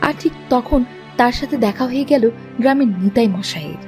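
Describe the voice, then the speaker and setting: Female storyteller for a cartoon fairy tale, high-pitched voice, scripted narration. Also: animated, brisk, darkish tone, soft background music.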